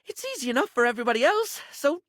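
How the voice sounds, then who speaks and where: A man's voice: extremely high pitch, sing-song, racing, a bit bright, no echo, studio quality, exaggerated voice. A man voicing a flamboyant, scatterbrained, affectionate beauty-product character in a video game, with a theatrical, gushing and rambling delivery that stammers at times. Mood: self-doubting.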